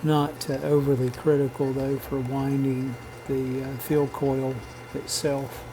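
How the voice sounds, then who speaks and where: A man narrating a vintage radio repair as a relaxed how-to voice-over, matter-of-fact and unhurried, with frequent "uh" pauses. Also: mid-range voice, noisy background. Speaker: radio restoration hobbyist.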